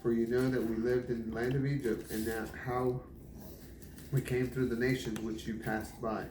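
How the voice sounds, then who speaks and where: A man's voice: mid-range voice, level tone, a little mellow. Roomy, distant recording of man reading scripture. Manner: measured, deliberate, stumbles over some names. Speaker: Bible reader. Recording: roomy, distant mic, noisy background.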